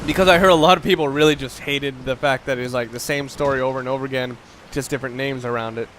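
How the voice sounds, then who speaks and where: A man's voice: medium pitch, fairly even intonation, fast, a bit bright, slight background noise. A young man giving casual, rambling commentary over a video game, often breaking off mid-sentence and swearing now and then. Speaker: let's play commentator.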